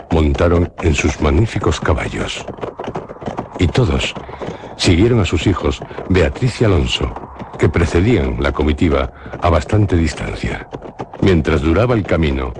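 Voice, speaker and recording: A man narrating a literary horror tale in a solemn, deliberate manner. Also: rumbling low, noisy background.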